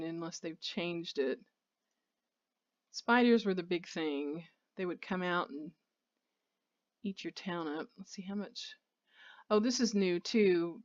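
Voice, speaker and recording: A woman's voice: fairly low pitch, muffled recording. A woman giving casual let's play commentary on a video game, rambling and thinking aloud as she plays.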